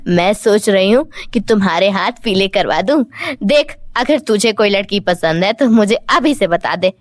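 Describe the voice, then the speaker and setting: A woman's voice: medium pitch, animated, moderate pace, no room sound, pitched below the performer's own voice, close to an everyday voice. A woman voicing a caring older mother-in-law, the head of the household, in a cartoon story. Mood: gently probing.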